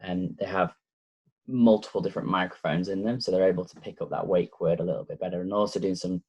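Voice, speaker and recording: Lancashire guy talking through a tech test, deep flat voice, lots of ums, dull lo-fi sound. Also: slightly rough. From Blackpool, North West England. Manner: relaxed, hesitant with many fillers. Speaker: tech reviewer. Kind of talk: casual explanatory walkthrough. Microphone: laptop microphone.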